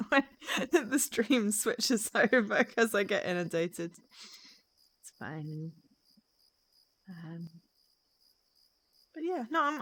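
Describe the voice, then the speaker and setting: A woman's voice: on the high side, fairly bright tone, no echo. A woman chatting casually and exuberantly with viewers as she live-streams a game.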